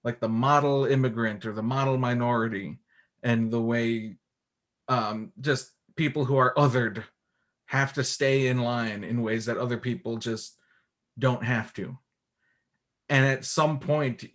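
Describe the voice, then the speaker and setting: A man's voice: mid-range voice, a bit bright. A man interviewing a film director, asking loose, rambling questions in a casual, friendly way.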